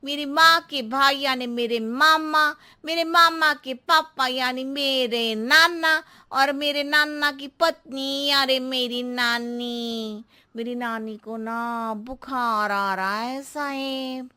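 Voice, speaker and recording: A woman's voice: high-pitched, fairly even intonation, takes it slowly, bright, forceful tone, clear, resonant tone, exaggerated voice. A woman voicing a silly, chatty cartoon housemaid who talks playfully in roundabout riddles. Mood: rambling, earnest. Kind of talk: exaggerated Hindi cartoon voice acting.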